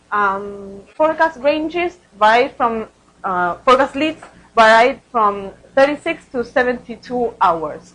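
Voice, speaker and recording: High-voiced woman giving a halting research talk. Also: a bit bright, low-quality recording. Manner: hesitant, halting delivery with repeated words. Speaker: researcher presenting study results. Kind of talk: conference research presentation.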